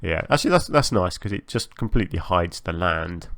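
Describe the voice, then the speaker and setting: A man giving casual, chatty commentary as host of a gaming channel, a little hoarse from a long recording session, hesitant and self-effacing. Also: deep voice, expressive, a little fast, fairly bright tone, no room sound. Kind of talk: casual game build commentary.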